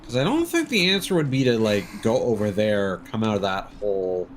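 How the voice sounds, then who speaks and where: A man's voice: lowish voice, very animated, brisk, slight background noise. A man giving casual live gameplay commentary, thinking aloud in fragments. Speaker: game streamer. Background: game music.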